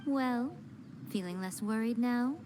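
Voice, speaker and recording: Sly, high-voiced fox lady. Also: slow pace, background noise. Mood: sly, composed. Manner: teasing, self-assured, smooth delivery. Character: sly, elegant, teasing adult female fox-spirit shrine maiden. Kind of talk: anime game voice acting. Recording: game music behind the voice.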